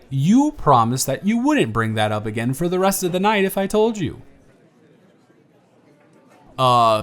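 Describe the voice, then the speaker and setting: A man playing a giddy, smitten young man on a blind date, bantering playfully in a one-sided romantic audio roleplay. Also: high voice. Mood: teasing. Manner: animated, bantering, playful storytelling with stammers.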